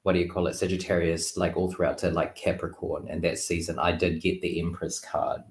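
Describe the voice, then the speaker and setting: Deep voiced guy rambling thoughtfully on a stream, lots of likes and you knows, roomy echo. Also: flat delivery. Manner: halting, filler-heavy with "like" and "you know". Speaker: guest tarot reader. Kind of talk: casual reflective chat. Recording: roomy, echoing livestream call.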